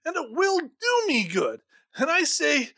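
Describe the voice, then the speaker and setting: A man doing a high, bright eager young nephew. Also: wildly swooping pitch, a little slow, gravelly, heavily acted. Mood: earnest. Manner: warm-hearted, pleading and emphatic. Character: cheerful earnest young man, kind nephew. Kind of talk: storybook reading.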